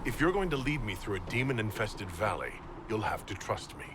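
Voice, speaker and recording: Reluctant game hero: low, earnest, plain-spoken, under loud ambience. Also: male voice, very animated, a little fast, background noise. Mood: tense, urgent. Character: guarded male prisoner turned reluctant hero. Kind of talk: video game cutscene acting.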